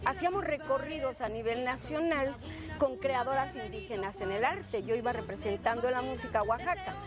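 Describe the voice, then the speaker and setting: A woman's voice: high voice, animated, moderate pace. A Zapotec-speaking woman, a singer and traditional healer, answering interview questions earnestly and hunting for words as she goes. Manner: earnest, searching for words, repeats herself. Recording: music playing under the voice.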